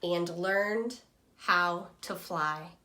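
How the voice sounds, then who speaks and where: A woman's voice: on the low side, heavily acted. A woman voicing an earnest, timid but persevering storybook egg, telling his own story expressively. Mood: determined. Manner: slow, expressive first-person storybook telling.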